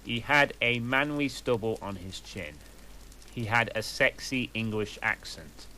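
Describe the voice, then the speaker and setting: A man doing a slow, deadpan comic reading of a fan story. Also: lowish voice, a little hiss or hum, poor audio. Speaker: reader.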